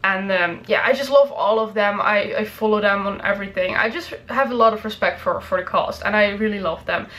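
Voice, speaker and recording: An enthusiastic woman chats casually to her viewers as the host of a reaction video. Her pitch sits in the middle range, and she talks like a devoted fan. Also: fairly quick, hardly any pauses, a bit bright, poor audio.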